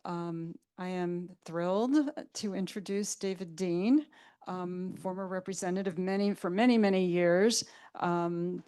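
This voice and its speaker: A woman moderator introduces the final speaker, pausing often on ums. Her voice is smooth and resonant and sits slightly low. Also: steady tone. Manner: warm, hesitant, frequent ums. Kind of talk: event introduction.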